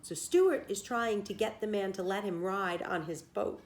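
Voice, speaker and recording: Lady teacher reading a kids book out loud, normal pitch, explains words simply for the class. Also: moderate pace. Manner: explains words plainly for children. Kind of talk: storybook read-aloud with simple asides.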